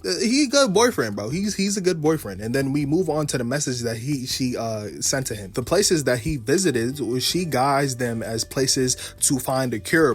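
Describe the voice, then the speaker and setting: A man giving a casual, slangy video game story recap, swearing freely as he goes. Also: medium pitch.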